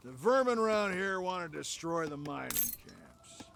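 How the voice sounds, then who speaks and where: Gravelly, smug sheriff. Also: male voice, on the high side, sing-song, normal speed, a bit bright, slight background noise, full character voice. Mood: commanding. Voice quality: gravelly, creaky. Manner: sardonic, folksy swagger. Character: smug, sneering Old West frontier sheriff, greedy schemer. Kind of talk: dramatic video game cutscene acting.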